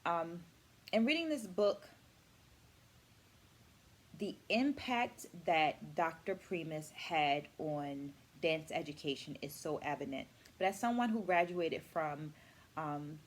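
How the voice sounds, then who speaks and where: A middle-aged woman speaking as an educator in a reflective vlog, with deliberate, articulate phrasing and emphatic stresses. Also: low-pitched. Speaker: vlogger and dance educator. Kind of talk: reflective book-review vlog.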